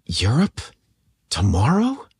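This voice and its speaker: A man voicing a tender, excitable man in love in a romantic audio story, earnest and emotional. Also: medium pitch, takes it slowly, slightly rough, dry recording, studio quality, pitched above the performer's own voice, full character voice. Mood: awed.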